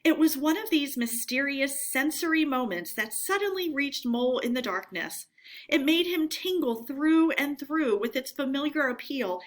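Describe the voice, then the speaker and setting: A woman reading aloud as a teacher, narrating a storybook expressively and deliberately. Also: on the high side.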